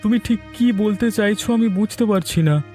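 A man plays a gullible, touchy village brother in a cartoon. His voice sits high for a man, with a mellow, dark tone and a plaintive, indignant delivery. Light music runs behind it. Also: moderate pace, natural voice. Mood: troubled, puzzled. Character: gullible, aggrieved married village brother, easily riled. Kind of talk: cartoon voice acting.

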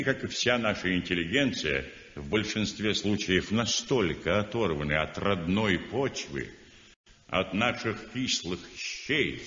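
A sardonic, slow-spoken critic. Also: male voice, fairly low pitch, fairly bright tone. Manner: sardonic, declamatory, mocking. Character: stern, outspoken male art critic. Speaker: art critic. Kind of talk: 1960s radio drama acting. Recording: dull old radio recording in a roomy hall.

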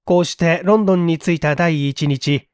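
Male audiobook narrator, slightly high pitch, dramatic literary delivery, expressive phrasing, dry studio-like sound. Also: normal speed, smooth, dry recording. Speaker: reader of audio stories.

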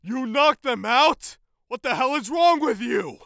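A man's voice: extremely high pitch, lively intonation, measured pace, creaky, pushed and bright, slight background noise, heavily acted. A man playing an indignant young villager, reproachful and incredulous, in a comedic dub. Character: indignant, accusing young villager with old grievances. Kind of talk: comedic parody dub acting.

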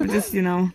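A woman chatting casually while gaming, excitable and given to exclaiming in frustration. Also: on the low side. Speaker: gaming video creator. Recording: noisy home recording with clicks.

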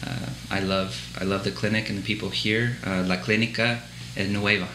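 Man, 30s, American accent in Spanish, low flat voice, hesitant fillers, echoey office, faint hiss. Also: moderate pace. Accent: American English speaker with Dominican-learned Spanish. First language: English. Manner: hesitant, many filler sounds and restarts. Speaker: physician assistant at an urgent care clinic. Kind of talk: interview answers. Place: clinic office, indoors.

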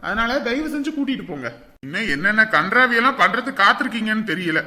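Performer as a sharp, bossy scolding doctor. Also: mid-range voice, animated, quick pace. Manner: scolding, sarcastic. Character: bossy, exasperated doctor scolding a noisy patient. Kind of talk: comic family skit acting.

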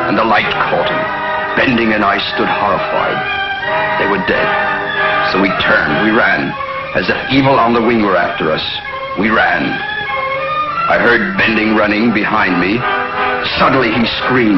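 A horror-struck man of science in 1950s TV sci-fi. His delivery is dramatic, halting and slow, with wild swings of pitch. Loud music sits behind the muffled soundtrack. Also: exaggerated voice. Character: haunted male scientist recounting a deadly expedition. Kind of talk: 1950s television science fiction acting.

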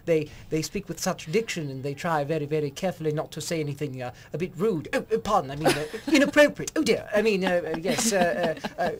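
A young man putting on a fussy, proper English gentleman who stammers and keeps apologising. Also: a little high, animated, moderate pace, few pauses, crowd noise, lightly acted. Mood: flustered. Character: prim, flustered English gentleman. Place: radio studio.

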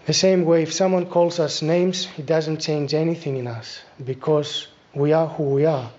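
A middle-aged man with a Greek accent giving a spiritual talk as an Orthodox abbot, deliberate and instructive, pausing between phrases. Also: a little high, fairly even intonation, a little mellow, muffled recording. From Greece. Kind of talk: spiritual talk, reflective preaching. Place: small church, indoors at a lectern.

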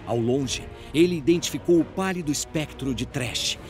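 A man's voice: on the high side, normal speed, darkish tone, soft background music. A man with a Brazilian accent narrating a scripted story in a dramatic audio drama style.

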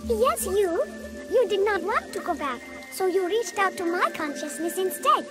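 A woman's voice: extremely high pitch, animated, moderate pace. A woman voicing a cute, eerie childlike girl in a video game, with a teasing sing-song delivery that is playful and menacing. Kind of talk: video game cutscene acting. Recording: music over the voice.

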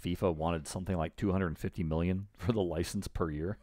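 A man's voice: deep voice, steady tone, fairly quick, warm tone, no echo. A middle-aged male podcast co-host in casual, speculative chat, thinking aloud, recorded close on a microphone in a small room. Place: small home room, indoors. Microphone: close boom-arm podcast microphone.

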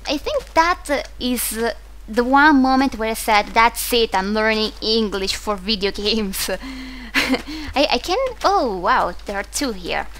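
A young woman whose first language is Italian talks over her gameplay in a loose, rambling way, full of restarts and fillers, with a clear Italian accent. Her voice sits a little high, and a faint hiss runs under the recording. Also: lively intonation, a little slow, fairly bright tone, poor audio. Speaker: gaming video host. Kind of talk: casual commentary while playing.

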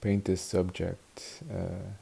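A Flemish-accented man in his forties, speaking English as a second language. He talks slowly and haltingly, pausing to search for words, and his voice sits a little low. He is recorded on a webcam in an attic studio. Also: monotone, gravelly, dark tone, husky. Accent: Flemish Dutch. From Belgium (Flanders). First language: Dutch. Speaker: watercolor artist teaching. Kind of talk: hesitant tutorial narration. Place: attic studio with wooden beams.

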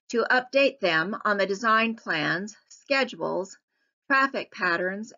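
A woman giving scripted narration for a public hearing slideshow in an even, measured reading. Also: mid-range voice, muffled recording.

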